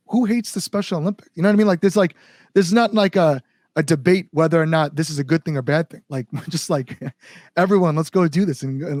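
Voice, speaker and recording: A man's voice: on the high side, darkish tone, no room sound, clean studio sound. A man chatting casually as an interview guest, a musician talking enthusiastically in loose, conversational phrases. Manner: enthusiastic, frequent "like" and "you know". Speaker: guest musician, band singer.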